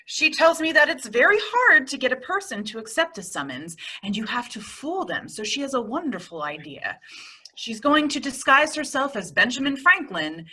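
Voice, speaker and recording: A woman's voice: high-pitched, big pitch swings, moderate pace, pushed and bright, slightly rough, exaggerated voice. A woman playing a wry, sensible friend who narrates in old-time radio comedy style with sardonic humour. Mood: wry, amused. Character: wry, sensible female friend narrating her roommate's antics.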